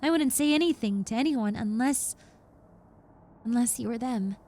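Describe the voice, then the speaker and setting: A woman's voice: a little high, normal speed, mellow, lightly acted. A woman playing a shy, rambling young roommate, a reformed obsessive, in an intimate audio roleplay with hesitant, stammering delivery. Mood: shy, sheepish. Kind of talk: roleplay audio acting, intimate one-sided conversation.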